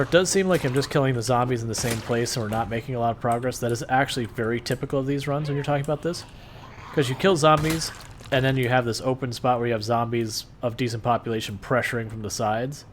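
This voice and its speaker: A man streaming a game live, talking through his play in a calm, soothing, laid-back way. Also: mid-range voice, slight background noise. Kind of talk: casual live stream gameplay commentary.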